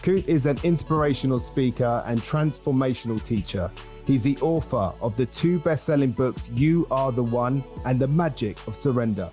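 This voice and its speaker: A man's voice: mid-range voice, moderate pace, mellow, faint music behind. A man with an English accent hosting a podcast and greeting his guest in a friendly, upbeat way. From England. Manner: friendly, upbeat, sings intro lines. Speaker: podcast host. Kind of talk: podcast interview.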